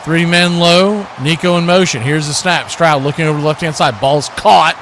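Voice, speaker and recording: American guy doing football play by play, kinda high voice, folksy and excitable, noisy rumbly background. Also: normal speed. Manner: folksy exclamations. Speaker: play-by-play sports commentator on a radio watch party.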